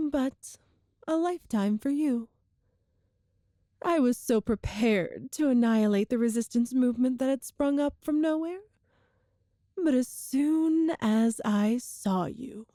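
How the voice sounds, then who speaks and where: A woman performs an all-powerful evil empress in an intimate ASMR audio drama. Her voice is fairly high and velvety, recorded very dry and close. She speaks in measured, formal phrases, coolly smug and seductive, letting her pauses linger. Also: warm tone, no room sound. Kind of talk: scripted ASMR roleplay monologue.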